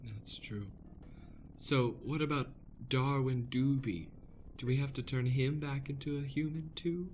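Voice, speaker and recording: A man's voice: medium pitch, unhurried, darkish tone, a little hiss or hum, lightly acted. A man playing a scheming, easily deflated witch, campy and melodramatic, in an improvised comic radio play. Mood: resigned, fond. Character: theatrical villainous witch Matilda, scheming yet easily deflated.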